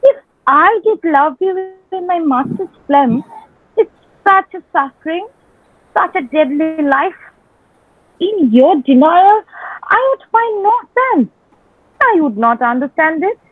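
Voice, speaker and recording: A woman playing an ardent young gentleman messenger in a Shakespearean dramatic reading, declaiming in a drawn-out, courtly manner. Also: extremely high pitch, animated, no room sound, heavily acted. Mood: impassioned. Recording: thin phone-like band, dry sound.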